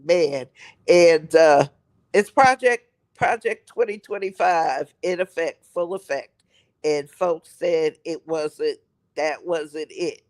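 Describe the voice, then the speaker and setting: A man with a raspy voice from a head cold, rambling on a live stream and repeating himself for emphasis. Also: fairly high pitch, slow pace, darkish tone. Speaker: livestream host. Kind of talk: casual live-stream commentary.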